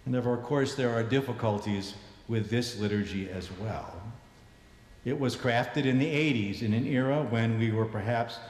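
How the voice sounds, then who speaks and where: A man in his sixties, a bishop giving a measured, reflective sermon in a large church sanctuary, his voice falling low at the ends of phrases. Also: darkish tone. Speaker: bishop preaching. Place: large church sanctuary, standing before the altar. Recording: echoey church with long reverb.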